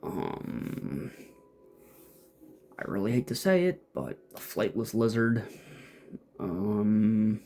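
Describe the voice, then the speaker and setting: A man's voice: lowish voice, lively intonation, drawn-out words, pauses often, warm tone. A man giving casual, off-the-cuff gameplay commentary, muttering and thinking aloud to himself as he plays. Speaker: gamer playing and commenting.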